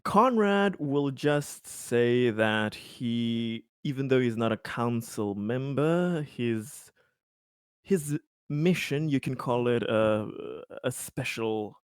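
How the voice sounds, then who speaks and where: Man, game player, mid-pitched, halting casual talk, repeated words, dry close sound. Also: clear, resonant tone, dry recording. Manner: halting, repeats words while searching for phrasing.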